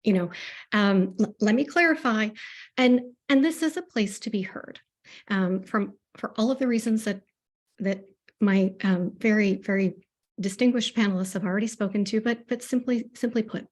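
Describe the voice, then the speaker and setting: A woman with a soft voice answering as a panelist, hesitant and repeating her words. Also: medium pitch, normal speed.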